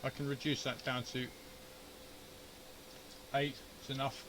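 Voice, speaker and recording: A man's voice: medium pitch, level tone, measured pace, a bit bright, slight background noise, rough recording. A man chatting informally and thinking aloud as a hobbyist host, grumbling his way through a hands-on equipment demonstration. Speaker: amateur radio hobbyist and video host. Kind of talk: casual unscripted shack demonstration, muttering through troubleshooting. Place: amateur radio shack at home.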